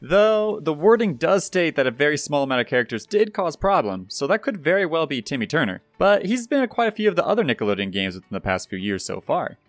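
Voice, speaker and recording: Animated young man presenting, fairly high voice. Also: fast talker, few pauses, no echo. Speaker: YouTube gaming news host. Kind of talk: scripted video game news narration. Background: background music.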